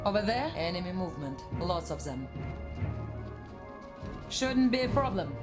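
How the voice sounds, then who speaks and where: A cool lady sniper agent for a game, kinda low voice, music under it. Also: very animated, a little slow. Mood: focused, alert. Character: female sniper agent, cool, watchful, dutiful infiltrator. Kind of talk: video game dialogue and unit responses. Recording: music playing behind the voice.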